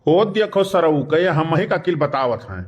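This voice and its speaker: A man's voice: fairly high pitch, expressive, measured pace, highs cut off. A man voicing a preachy, freeloading village uncle in a cartoon comedy, bantering with an Awadhi accent. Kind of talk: comic cartoon dialogue.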